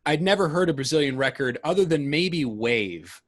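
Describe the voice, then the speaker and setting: A man's voice: on the high side, lively intonation, moderate pace. A man hosting a podcast about albums, chatting casually and enthusiastically, often restarting his sentences. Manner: rambling, self-correcting, enthusiastic. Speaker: podcast host.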